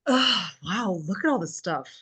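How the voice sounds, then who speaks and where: Woman playing a snarky lady tv host, wry n fed up, mid pitch, comedy script read. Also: animated, measured pace, fairly bright tone, slightly rough, exaggerated voice. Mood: exasperated. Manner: wry, exasperated.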